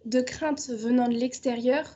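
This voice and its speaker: A woman's voice: on the high side, steady tone, measured pace, warm tone, slightly rough, muffled recording. A woman in her twenties giving short, composed statements in a testimonial. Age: 20s. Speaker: former trainee, now AI data developer. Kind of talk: testimonial interview.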